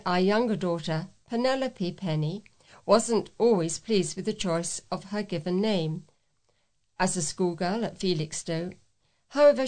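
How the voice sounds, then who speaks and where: A woman's voice: medium pitch, a little mellow. British woman reading local letters aloud. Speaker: volunteer newsreader for a talking newspaper.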